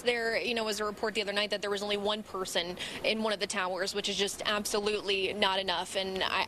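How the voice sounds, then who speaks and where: A woman's voice: medium pitch, sharp tone, a little hiss or hum, rough recording. An American woman giving a live television news report as a field reporter.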